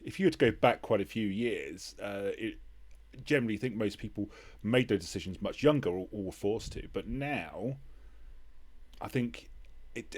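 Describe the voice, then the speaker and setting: Lively-pitched man in his forties, podcast host. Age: 40s. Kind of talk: casual interview chat.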